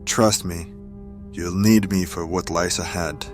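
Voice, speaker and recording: An actor playing a sly, self-serving ally in an audio drama, speaking in a cool, formal, old-fashioned way. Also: male voice, low-pitched, steady tone, slow, over loud music. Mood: insistent. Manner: cool, formal, archaic turns of phrase. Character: sly, self-serving male ally turned against former masters.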